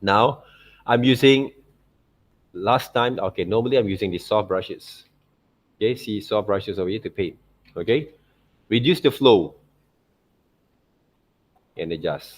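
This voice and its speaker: A man with a Malaysian accent teaching an informal software tutorial, often checking in with short tag words like okay and right. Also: fairly low pitch, measured pace, long pauses. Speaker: art teacher.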